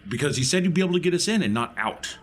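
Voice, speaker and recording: A man's voice: a little high, animated, very fast, soft background music. A man playing a tabletop roleplaying game, talking through his character's plans in casual, improvised chat.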